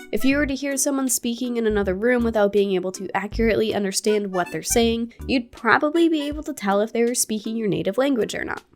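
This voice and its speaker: Gaming YouTuber, woman, fairly high voice, curious and playful scripted narration over soft music. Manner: curious, playful, with casual asides. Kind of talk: scripted video essay narration.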